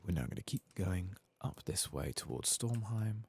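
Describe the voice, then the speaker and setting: A man giving soft-spoken, sometimes whispered ASMR commentary as he plays a video game, murmuring to himself and casually swearing, close to the microphone. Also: low voice, animated, normal speed, breathy edge. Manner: murmured running self-talk, casually sweary. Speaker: streamer playing a video game.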